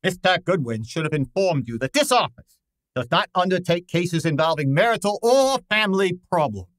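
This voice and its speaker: An actor playing a pompous, cantankerous gourmet detective in a radio drama, with imperious, formal diction. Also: male voice, sits high, lively intonation, moderate pace, fairly bright tone, no echo. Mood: haughty, brusque. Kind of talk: old-time radio drama acting.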